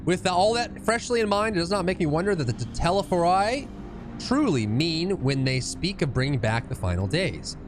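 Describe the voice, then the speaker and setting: A man voicing an earnest, formal scholar from a fantasy game, reading the lines in an inquisitive, deliberate manner. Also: high-pitched, very animated, music in the background. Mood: pondering.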